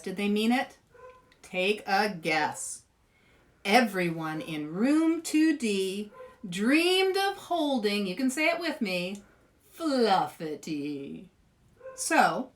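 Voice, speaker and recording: A woman hosting story-time for children, reading aloud in a playful, expressive way and chatting with young viewers. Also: mid-range voice, far from the mic. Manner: playful, expressive, asks listeners questions.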